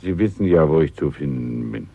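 A man's voice: low voice, fairly quick, gravelly, warm tone, husky, no echo, exaggerated voice. A man playing an obliging, discreet shopkeeper in a German radio play, polite and apologetic. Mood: courteous, obliging. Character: obliging, discreet, courteous male shopkeeper letting a room. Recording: thin phone-like old radio recording, dry.

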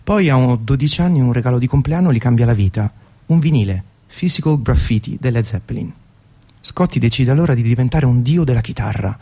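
A man tells a scripted story as a radio presenter. His voice is mellow and soft-edged, sitting at an ordinary male pitch. The delivery is that of a narrator guiding listeners through music. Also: animated, fairly quick.

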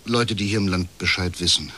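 Disillusioned middle-aged official, deep voice, forceful edge, bitter, measured, radio crime drama. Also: male voice, steady tone, a little hiss or hum. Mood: weary, confiding. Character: disillusioned, chain-smoking male privatisation official, uneasy whistleblower.

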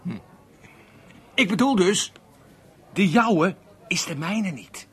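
An actor playing a drunk, aggrieved unemployed man rambling haltingly at a bar in a radio play. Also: high-pitched, lively intonation, fairly bright tone, exaggerated voice. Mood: tipsy, grumbling. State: drunk (acted). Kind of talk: Dutch radio play monologue and dialogue.

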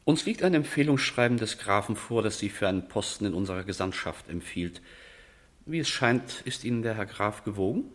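A pedantic envoy in a period radio drama. The voice is deep and husky, swinging widely in pitch. He sounds pompous, fussy and condescending. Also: animated, brisk. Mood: formal, condescending. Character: pedantic, pompous male envoy, stiff lover of order.

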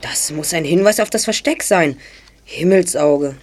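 A woman's voice: deep voice, a little slow, darkish tone. A woman playing a decisive, self-assured teenage boy detective in an audio drama. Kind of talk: hobby audio drama acting.

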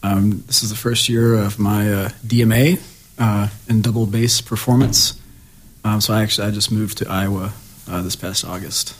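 A young man, a musician guest on a radio show in a studio, talking casually and haltingly with repeated words. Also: lowish voice, fairly even intonation, a little hiss or hum, poor audio.

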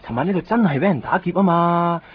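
An actor playing an apologetic, flustered travel agency worker with a Hong Kong accent in a radio drama. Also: male voice, high voice, fast talker, husky. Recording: dull, faintly noisy radio recording.

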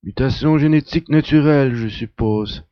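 A man's voice: mid-range voice, moderate pace, no echo, highs cut off, lightly acted. A man playing a knowledgeable, skeptical adventurer in an audio drama, speaking matter-of-factly. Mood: explaining. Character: male adventurer, knowledgeable, skeptical blood brother of an alien.

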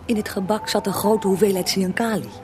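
Actress, woman interviewer, medium pitch, composed, knowing, matter-of-fact, scripted radio drama narration. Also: fairly even intonation, a little fast, over music, close to an everyday voice. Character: female interviewer questioning a dead historical man, knowing, composed.

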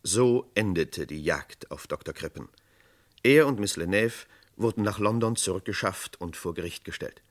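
A man's voice: sits low, animated, normal speed. A man narrating a scripted 1960s true-crime radio play.

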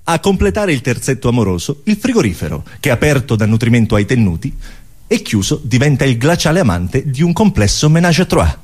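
A man performing scripted absurdist theatre with a mock-solemn, deliberate, declamatory delivery. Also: medium pitch, big pitch swings, a little mellow, breathy edge. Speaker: stage performer.

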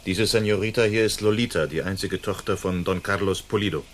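Actor as a deep-voiced, commanding masked hero. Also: male voice, fairly quick, slight background noise, lightly acted. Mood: earnest, courteous. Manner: commanding, courtly, formal. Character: bold, gallant masked outlaw hero of old California. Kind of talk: German radio play acting.

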